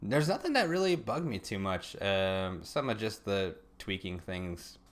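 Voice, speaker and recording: A man with an American accent and a slightly low voice. He chats casually as a podcast co-host, laid-back and a little self-effacing about his own work. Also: lively intonation, unhurried, a little hiss or hum. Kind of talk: casual chat.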